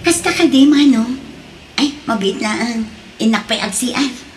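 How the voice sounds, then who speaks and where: Sorrowful betrayed young wife, high voice, Ilocano accent, radio drama acting, slight background noise. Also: female voice, animated, unhurried. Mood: grateful, polite.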